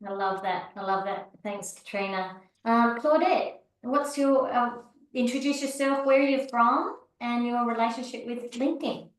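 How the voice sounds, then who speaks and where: A woman's voice: a little high, normal speed, few pauses. Echoey, distant woman hosting a video call. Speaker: online meeting host.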